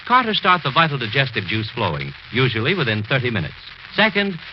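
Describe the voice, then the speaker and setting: Male commercial announcer, very animated intonation, scripted vintage radio ad, dull and noisy. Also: fairly high pitch. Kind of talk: scripted 1940s radio advertisement. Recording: noisy, muffled 1940s radio recording.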